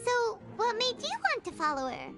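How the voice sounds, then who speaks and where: Video game companion: tiny excitable fairy girl, squeaky, very high, animated delivery. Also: moderate pace, loud music behind the voice, exaggerated voice. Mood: curious. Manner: speaks of herself in third person.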